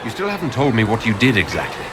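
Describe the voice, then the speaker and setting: Blunt game hero asking questions, quick talker, low voice, noisy background. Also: male voice, wildly swooping pitch. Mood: firm. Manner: brisk, direct. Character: direct, questioning male hero of a fantasy game. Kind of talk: fantasy video game dialogue. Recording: crowd and outdoor ambience behind.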